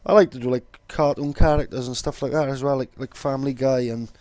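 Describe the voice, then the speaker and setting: A man's voice: medium pitch, fairly even intonation, a little fast, noisy background. A young man with a Welsh accent, casually explaining in a rambling, sweary way with lots of fillers. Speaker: vlogger.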